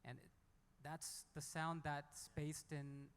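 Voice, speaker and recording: A man from South Korea, an indie musician, speaking off the cuff and haltingly on a panel. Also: fairly high pitch, level tone, fairly bright tone, smooth. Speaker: indie singer-songwriter on a panel. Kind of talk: unscripted panel talk. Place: hall at a music conference panel. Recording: echoey hall with long reverb.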